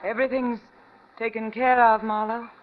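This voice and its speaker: An actress playing a resigned American murderess in a 1940s radio mystery, slow, deliberate and dramatic. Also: female voice, on the high side, level tone, close to an everyday voice. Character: composed, resigned female murderess from a noir mystery. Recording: muffled, very noisy old radio recording.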